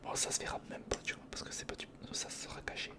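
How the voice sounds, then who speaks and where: A person whispering informal ASMR commentary in short step-by-step remarks while building, speaking directly to the viewer. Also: fast. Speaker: ASMR video creator and host.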